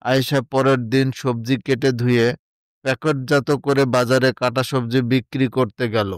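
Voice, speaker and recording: A man's voice: medium pitch, level tone, moderate pace, clear, resonant tone, dry recording, studio quality. A man narrating a scripted moral story.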